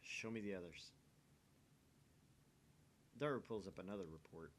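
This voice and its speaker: Low-voiced man reading a visual novel. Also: moderate pace, a little hiss or hum. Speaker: amateur voice reader of a visual novel. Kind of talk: dramatic reading of game narration and dialogue.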